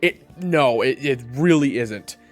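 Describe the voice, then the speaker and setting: Man playing an exasperated, animated fantasy adventurer. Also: medium pitch, slow, soft background music. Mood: incredulous, annoyed. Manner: casual, incredulous. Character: exasperated, sarcastic male adventurer leading a party. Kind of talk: fantasy audio roleplay acting.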